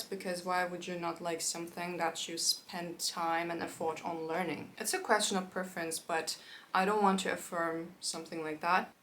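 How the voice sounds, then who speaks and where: A woman of twenty-one from Turkey, a native Turkish speaker talking casually to camera with quick asides and frequent fillers. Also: lowish voice, a bit bright, slight background noise. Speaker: YouTuber.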